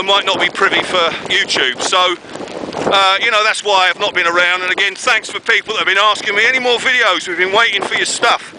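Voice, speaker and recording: A man vlogging outdoors while trekking a wet, windy trail, chatting casually and rambling with many fillers. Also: sits high. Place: outdoors on a windy rainy trail, later in woods. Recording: loud wind buffeting the mic. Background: loud wind noise.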